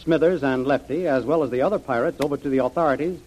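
A man's voice: mid-range voice, fast talker, a little mellow, slightly rough, low-quality recording. A man narrating as the announcer of an old-time radio adventure serial.

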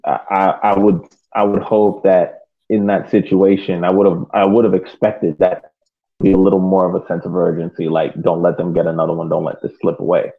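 Tinny phone-like line, lowish male voice, podcast co-host, measured casual match analysis, hesitant. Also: flat delivery, creaky.

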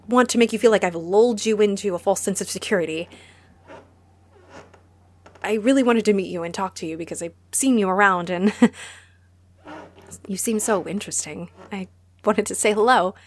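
A woman's voice: mid-range voice, moderate pace, long pauses, close to an everyday voice. A woman playing a friendly, easily flustered vampire who runs a cozy cafe, chatty and apologetic, in a roleplay audio drama spoken to the listener.

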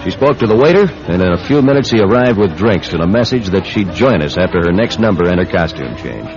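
Wry young male newspaperman for radio mystery, lively delivery, music underneath. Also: deep voice, fairly quick, a crowd in the background. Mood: wry narration. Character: Jeremy, easygoing young newspaperman turned amateur sleuth, narrating. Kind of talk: radio mystery drama acting with first-person narration. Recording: dull radio recording with music behind.

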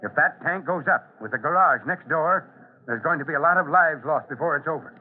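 A man's voice: fairly high pitch, brisk, slight background noise, natural voice. Tinny vintage radio fire chief, acted by a man, American. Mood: solemn. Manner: commanding, earnest, urgent. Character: authoritative fire chief, grave but caring. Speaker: actor. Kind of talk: old-time radio drama acting.

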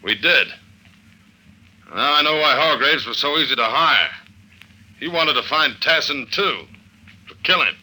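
A man's voice: a little high, animated, unhurried, pauses often, pushed and bright, heavily acted, rough recording. An actor playing a tough, wry detective agent who narrates his case in clipped slang, in the style of an old-time radio drama. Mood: confident, explaining. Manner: clipped, slangy, hard-boiled. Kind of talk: old-time radio drama acting, hard-boiled first-person narration. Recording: vintage radio drama recording.

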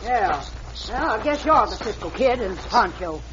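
A woman's voice: medium pitch, very animated, unhurried, a bit bright. Hot-tempered frontier woman sheriff, brash, dull old radio transfer, faint hiss. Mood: brusque. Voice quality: brash, folksy cowboy slang. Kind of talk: 1950s radio western acting.

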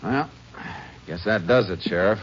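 An actor playing a laconic, methodical Texas Ranger in a 1950s radio drama, terse and matter-of-fact, with an American accent. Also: male voice, lowish voice, unhurried, noisy background, lightly acted. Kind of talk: 1950s radio drama acting and first-person narration. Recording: dull old radio recording, music behind.

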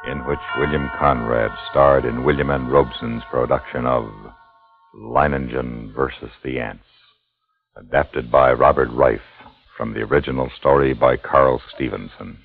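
A male radio announcer with a rumbling, bottom-register voice and heavy gravel. He reads in a scripted 1950s broadcast style. The sound is narrow and vintage. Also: fairly even intonation, normal speed. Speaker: program announcer. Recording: tinny vintage radio recording with music.

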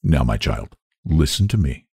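Man voicing kindly minister, storybook, patient, gentle admonishing manner, low mellow pitch. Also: very animated, normal speed, breathy edge, heavily acted. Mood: gently admonishing. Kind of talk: storybook character voice.

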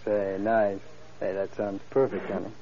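An actor playing a devoted, easygoing young fiancé in a fond, boyish 1930s radio style. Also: male voice, fairly low pitch, flat delivery, measured pace, dark tone, lightly acted. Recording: muffled, noisy old radio recording.

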